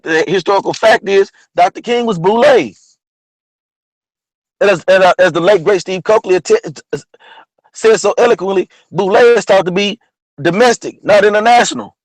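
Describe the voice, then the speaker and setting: A man with a partly lost, hoarse voice, talking casually about history as host of an online show, often asking "you know what I'm saying?". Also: high-pitched, dry recording, low-quality recording. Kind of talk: casual history talk monologue.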